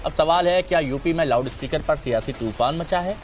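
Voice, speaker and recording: Casting: male TV news anchor, higher-toned voice, scripted presentation. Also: fairly quick, faint music behind.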